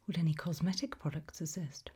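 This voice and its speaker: A woman whispering softly in an ASMR roleplay as a tailor, asking questions in a polite, measured, formal manner. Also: low voice, fairly even intonation. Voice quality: soft, breathy unvoiced whisper.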